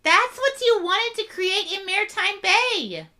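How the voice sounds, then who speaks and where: A woman's voice: extremely high pitch, lively intonation, a little slow, a crowd in the background. A woman voicing a bubbly, quirky female unicorn pony in a fan comic dub, giddy and playful. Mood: gentle.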